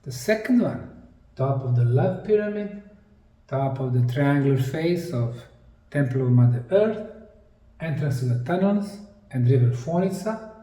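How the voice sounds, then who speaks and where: Slow, mellow man presenting in an echoey room. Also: mid-range voice. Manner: deliberate, short emphatic phrases with pauses. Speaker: presenter, anthropologist. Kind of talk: slide lecture presentation on sacred geometry. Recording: distant mic in an echoey room.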